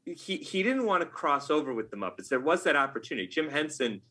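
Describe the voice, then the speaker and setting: A man's voice: a little high, very animated, brisk, pushed and bright. A middle-aged man talking casually as a podcast guest, with a wry, self-mocking, nerdy enthusiasm. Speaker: podcast guest, game writer.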